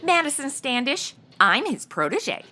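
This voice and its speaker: Bubbly young female novice seller, higher-pitched, extremely swooping delivery, slangy, flippant, audio comedy. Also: very animated, measured pace, over music. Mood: perky. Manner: flippant Valley-girl slangy chatter. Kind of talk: comedy audio drama acting.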